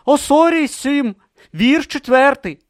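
A man's voice: very high-pitched, animated, slow pace, pitched above the performer's own voice, exaggerated voice. A man voicing a timid, earnest young male novice monk who speaks hesitantly and anxiously.

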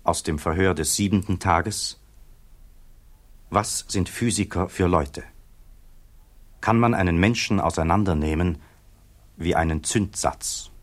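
A grave male announcer in a 1960s German radio play. His voice is very low, and he delivers hearing records in a solemn, reflective, rhetorical way. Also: measured pace, pauses often.

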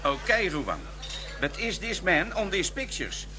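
A squeamish, earnest Dutch cop in a radio play, fairly high and very lively in pitch. His accent is Dutch, and music plays clearly behind him. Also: measured pace. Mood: earnest, pressing. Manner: plain-spoken, simplified English to foreigners. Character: earnest, squeamish Dutch policeman on a case abroad. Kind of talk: radio drama acting.

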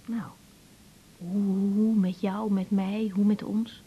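A woman's voice: medium pitch, flat delivery, takes it slowly, a little hiss or hum, lightly acted. An actress playing an earnest, wounded young female doctor in a radio drama, emotionally open and introspective. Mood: troubled, confiding. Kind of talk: naturalistic radio drama acting, diary-like monologues. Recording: dull radio recording with music.

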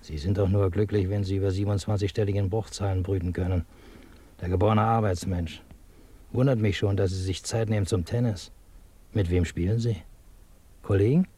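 Dry, cynical spy contact, husky low voice. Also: male voice, steady tone, fairly quick, mellow. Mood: wry, teasing. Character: dry, cynical male intelligence contact, coolly businesslike. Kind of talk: German radio drama acting.

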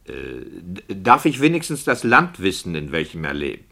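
A man's voice: deep voice, very animated, normal speed, pushed and bright, exaggerated voice. A man playing a sceptical, fussy radio play director, formal, polite and questioning. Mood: fussy, insistent. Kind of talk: 1960 German radio play dialogue.

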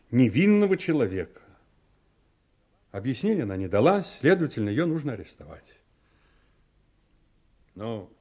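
A weary, honest male smuggler in a radio drama. His voice is of ordinary pitch. He talks bluntly and haltingly, with resignation. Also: a little mellow. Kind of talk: radio play acting.

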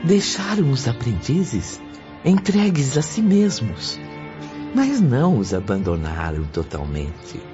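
A man's voice: mid-range voice, big pitch swings, slow pace. A man narrating a spiritual radio drama as a solemn, scripted storyteller. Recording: muffled lo-fi recording under music. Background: prominent music.

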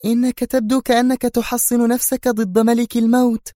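A man voicing a nervous, sharp-tongued woman spy in an audiobook, speaking in a high, strained and urgent voice. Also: little pitch movement, a little fast, dry recording, studio quality. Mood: agitated. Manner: urgent, agitated. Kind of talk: audiobook character reading.

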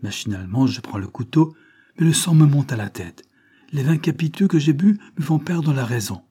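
A man's voice: a little high, expressive, moderate pace, mellow, breathy edge, voice pushed up. A man voicing an agitated man confessing a crime to his lover, exalted and dramatic, as in an audiobook. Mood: desperate, exasperated. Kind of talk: dramatic audiobook character reading.

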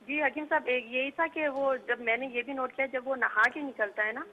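A woman's voice: sits high, steady tone, normal speed, slight background noise. A woman calling in as a worried mother, rambling and repeating herself. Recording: dull phone line.

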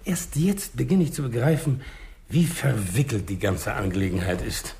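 An actor playing an affable, deferential small-town mayor in a 1960s German radio crime drama, talking in a conciliatory, slightly hesitant way. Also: male voice, medium pitch, moderate pace, creaky, darkish tone, slight background noise, natural voice.

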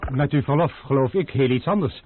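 A man's voice: mid-range voice, a little fast, lightly acted. An actor playing an anxious, suspicious manservant in a radio play who keeps warning his master. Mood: wary. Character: fretful, suspicious manservant Cloche. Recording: old phone-like noisy radio recording.

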